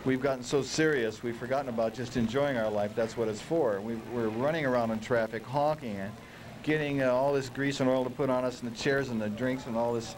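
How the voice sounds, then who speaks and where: A man being interviewed as a board-making guest, talking in a relaxed, rambling, earnest way. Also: medium pitch, a little fast, recorded from a distance, a little hiss or hum, low-quality recording. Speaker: surfboard maker guest. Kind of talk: casual interview.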